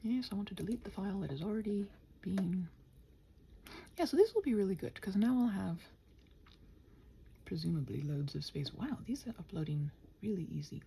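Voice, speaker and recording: A woman's voice: medium pitch, expressive, normal speed, vocal fry, long pauses, dark tone, a little hiss or hum. A woman in a casual, unscripted ramble, chatting playfully to listeners and muttering to herself in a scattered way as she works. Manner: playful, chatty, talks to her computer. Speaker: ASMR audio creator rambling to listeners.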